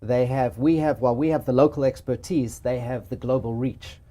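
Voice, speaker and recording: A man with a South African accent, a real estate agent explaining his business in a measured way during an interview. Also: medium pitch, mellow. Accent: South African with a Boston tinge. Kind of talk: interview conversation.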